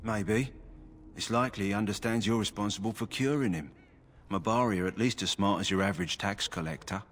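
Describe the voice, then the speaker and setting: A plainspoken kennel master. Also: male voice, lowish voice, a little fast, fairly bright tone. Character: kind male kennel master, practical. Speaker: game character.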